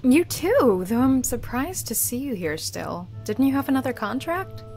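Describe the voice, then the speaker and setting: A woman plays a young female necromancer from a visual novel. She is earnest, plain-spoken and measured, with a tough but considerate temper. Soft music sits behind her. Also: high-pitched, big pitch swings. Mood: wry. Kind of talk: visual novel dialogue read aloud.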